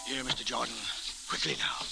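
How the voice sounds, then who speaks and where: An actor in an old radio detective drama playing a small, furtive informant with a husky, hushed voice. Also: male voice, mid-range voice, animated, a little fast, poor audio. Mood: furtive, urgent. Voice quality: husky, hushed, gravelly whisper. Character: small, furtive, pleading informant in a derby hat. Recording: old hissy radio recording, music behind.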